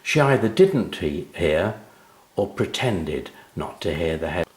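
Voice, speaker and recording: A man reading a scripted children's story as narrator, in an expressive and deliberate storytelling manner. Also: low voice, echoey room. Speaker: author reading his own story.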